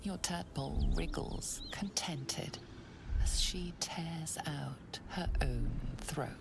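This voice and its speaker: A woman performing as the narrator of a dark fantasy game, speaking in a deliberate, hushed, ominous manner. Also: sits low, gravelly, in a whisper, full character voice. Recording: music behind the voice.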